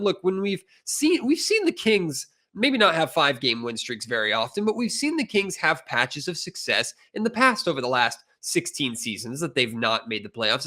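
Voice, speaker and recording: A man's voice: medium pitch, pushed and bright. An American man hosting a sports podcast, talking as a reporter and interviewer.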